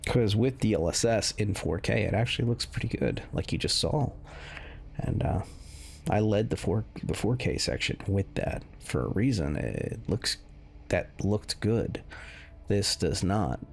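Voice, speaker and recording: A man's voice: fairly low pitch, lively intonation, measured pace, creaky, a little mellow, a little hiss or hum. A man giving casual, unscripted commentary as a game reviewer, explaining things in a meandering, hesitant way. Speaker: video game reviewer running benchmarks. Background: music under the voice.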